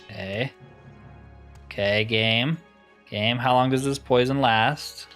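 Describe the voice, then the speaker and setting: A man's voice: medium pitch, fairly even intonation, takes it slowly, fairly bright tone, faint music behind. A man giving casual let's-play commentary, musing aloud with wry asides as he plays. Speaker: gamer narrating his playthrough.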